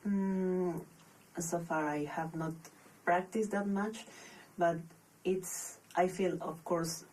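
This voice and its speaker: A young woman from Peru with a Peruvian Spanish accent, a podcast guest speaking English as a second language, thoughtful and pausing often to restart her phrases. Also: fairly low pitch, steady tone, darkish tone, distant mic, a little hiss or hum, low-quality recording. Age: young adult. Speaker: podcast guest, master's student. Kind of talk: casual interview.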